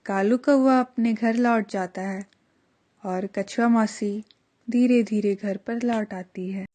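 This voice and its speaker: A woman's voice: mid-range voice, steady tone, takes it slowly, warm tone. A woman reading a scripted children's story as the narrator.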